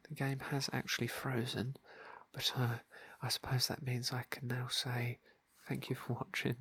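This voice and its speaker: Breathy, hushed man narrating ASMR gameplay. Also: mid-range voice, level tone, normal speed, no room sound. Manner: breathy, hushed, often dropping into whisper. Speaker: ASMR gaming video host and roleplayer. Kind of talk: soft-spoken ASMR roleplay game narration. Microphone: close microphone, dry.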